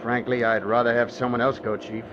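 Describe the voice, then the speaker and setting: An American man playing a hurried, plain-spoken newspaper reporter in a 1940s radio drama, brisk and slangy. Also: medium pitch, flat delivery, natural voice. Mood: harried. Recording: muffled noisy old radio recording.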